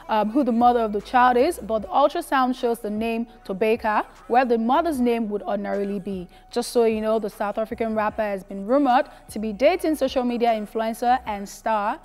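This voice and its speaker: A woman's voice: on the high side, darkish tone. Woman co-host reading entertainment news. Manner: echoes co-hosts' words, reads news items briskly. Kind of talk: casual entertainment news chat.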